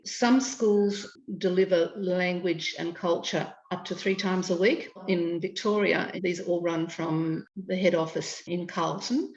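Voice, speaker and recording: A woman in her sixties with an Australian accent, an educator explaining things in a measured, informative way over a video call from home. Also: on the low side. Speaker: educator and curriculum consultant. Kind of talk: video call interview answers. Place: home room, indoors. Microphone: webcam on a video call. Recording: echoey room over a dull video call.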